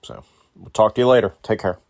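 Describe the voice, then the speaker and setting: A man's voice: fairly low pitch, brisk, creaky, darkish tone, dry recording, clean studio sound. A man leaving a casual, encouraging voice message as a podcast listener.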